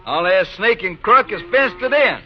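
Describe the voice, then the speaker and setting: An actor with an American accent playing a blunt, aggrieved, defiant trail boss in a 1950s Western radio drama. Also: male voice, sits high, expressive, moderate pace. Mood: desperate, angry. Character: desperate, proud trail boss driving a cattle herd. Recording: muffled 1950s radio recording.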